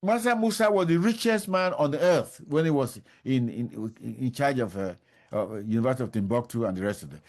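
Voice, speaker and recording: A man's voice: medium pitch, animated, fairly quick, a little mellow. An older man answering interview questions, emphatic and repeating his key points. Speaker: professor, interview guest.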